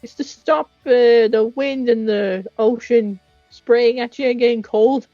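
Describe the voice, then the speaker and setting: Very high-voiced Irish woman, casual chat. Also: steady tone, unhurried, dry recording. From Ireland. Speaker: co-host of a fan chat. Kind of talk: casual chat between friends. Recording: muffled lo-fi audio with music behind. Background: music.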